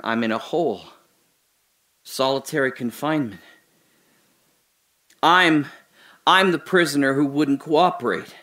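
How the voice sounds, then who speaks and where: An actor playing a confused amnesiac man trapped alone in a hole, rambling and swinging between giddy laughter and hushed muttering. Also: a little high. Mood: anxious rambling. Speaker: audio drama lead actor. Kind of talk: solo audio drama monologue.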